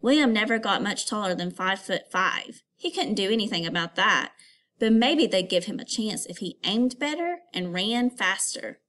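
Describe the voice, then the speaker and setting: A woman teacher giving a read-aloud lesson for children, instructive and expressive, as if putting questions to pupils. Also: medium pitch, moderate pace.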